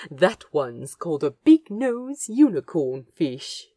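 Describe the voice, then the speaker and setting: Woman as mellow, fussy, gentle Aziraphale. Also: on the low side, big pitch swings, slow, no echo, studio quality, heavily acted. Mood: fond, pleased. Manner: prim, fond, explaining. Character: Aziraphale, gentle, fussy, eager male nature guide. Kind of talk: fan audio drama acting.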